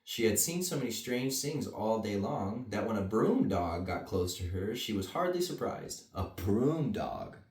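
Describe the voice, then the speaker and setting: A man with a lowish voice tells a children's bedtime story, dropping in casual asides. His delivery swings widely in pitch. The room echoes around him. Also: very animated, unhurried, hardly any pauses, darkish tone. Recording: roomy echo, mic at a distance.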